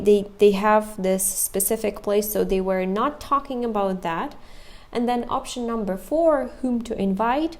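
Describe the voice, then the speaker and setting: A woman's voice: mid-range voice, darkish tone. A woman explaining listening test questions and answers, step by step and methodically. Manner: methodical, repeats options step by step. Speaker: English test prep teacher.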